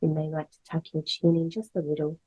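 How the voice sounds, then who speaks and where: Low, husky woman guiding meditation. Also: monotone, low-quality recording. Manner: measured, gentle phrasing with pauses. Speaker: yoga instructor guiding a meditation. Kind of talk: guided meditation, slow soothing instruction.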